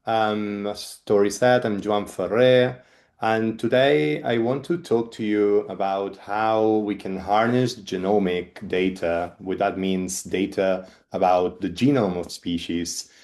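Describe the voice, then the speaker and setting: A man's voice: lowish voice, darkish tone. Catalan-accented man giving a webinar talk. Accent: Spanish. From Spain, Catalonia. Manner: hesitant, with frequent ums and restarts. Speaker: guest speaker, evolutionary biologist. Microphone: video call.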